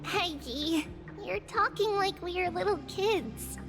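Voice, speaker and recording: A very high-pitched, earnest little girl from a video game, speaking at an unhurried pace. She sounds childlike and sincere, with loud music behind her. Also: heavily acted. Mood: wistful, concerned. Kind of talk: scripted video game voice acting.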